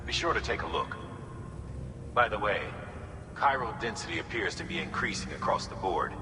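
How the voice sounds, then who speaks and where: A man plays an authoritative video game commander with a very low voice. He briefs in a measured, instructive tone with the odd folksy aside. The sound is reverberant and noticeably noisy. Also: lightly acted. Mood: concerned, steady. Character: steady, authoritative male commander briefing a courier. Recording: echoey reverberant hall, clearly noisy ambience.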